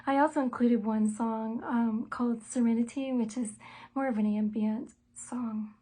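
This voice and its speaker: A middle-aged woman with a light voice pitched a little above average. She talks through her musical journey and her album in an easygoing, conversational way. Her pace is measured, and she pauses often on small "um" and "uh" fillers. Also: steady tone, dark tone. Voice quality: light, soprano-range voice. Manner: thoughtful and measured, with frequent "um" and "uh" pauses. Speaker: musician and songwriter interviewed about her album. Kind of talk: self-told interview answers, conversational.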